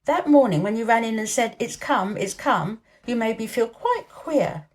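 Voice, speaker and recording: A woman's voice: on the high side, animated, moderate pace, lightly acted. A woman voicing a lordly young invalid boy in a storybook, self-important and precise, with a dreamy streak. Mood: dreamy, excited.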